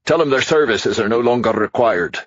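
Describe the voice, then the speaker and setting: A man's voice: mid-range voice, lively intonation, brisk, husky, no echo, lo-fi sound, voice pushed up. A man voicing a crude, drunken rich older man who bellows scornfully. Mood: gloating.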